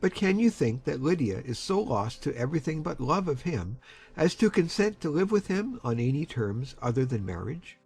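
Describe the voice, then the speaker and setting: A man acts a middle-aged gentleman uncle with a husky voice and a widely moving pitch. He reasons hopefully and deliberately, in period-novel style. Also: very animated, soft background music, heavily acted. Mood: measured, reassuring. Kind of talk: dramatized audiobook acting of a period novel.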